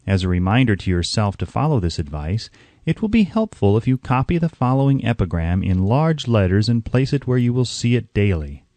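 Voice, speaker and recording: A man narrates an audiobook in a slightly low, mellow voice. His pitch moves in wide, expressive swings as he delivers the scripted text in a measured, instructive way. The sound is dry and close. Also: no room sound.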